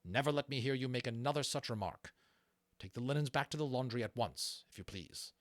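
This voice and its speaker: A man's voice: mid-range voice, fairly quick, no room sound, studio quality, pitched above the performer's own voice, lightly acted. A man acting a stern, dutiful and courteous military captain in an audiobook, formal and firm.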